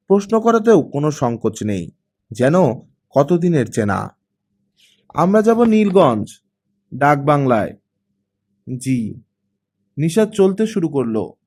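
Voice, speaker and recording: A man's voice: medium pitch, a little mellow. A man narrating a scripted story expressively as an audiobook reader.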